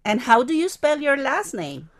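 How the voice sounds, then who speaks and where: Friendly commuter, read by a woman, clear textbook delivery. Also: high voice, sing-song, moderate pace. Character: helpful young working woman, twenty-seven.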